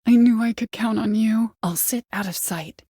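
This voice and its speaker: An actress playing a woman caller in a video game, by turns desperate, coaxing and menacing, with a hushed, insistent way of pleading. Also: a little high, normal speed, slightly rough, dry recording, clean studio sound. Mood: frightened pleading. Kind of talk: video game voice acting, dramatic dialogue.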